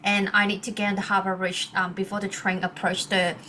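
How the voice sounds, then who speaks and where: A woman in her thirties with a Hong Kong accent and a bright, clear-edged voice, her first language Cantonese. She tells her story earnestly as a podcast guest, restarting phrases often as she searches for words. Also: mid-range voice, flat delivery, a little fast, a little hiss or hum. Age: 30s. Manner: earnest, with frequent restarts and non-native grammar. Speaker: guest, leadership coach. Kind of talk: podcast interview, personal story.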